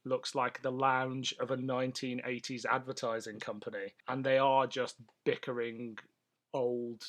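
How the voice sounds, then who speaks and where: A man's voice: mid-range voice, little pitch movement, a little slow, a bit bright. A man chatting casually as a podcast co-host, with a wry, opinionated way of talking. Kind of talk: casual chat.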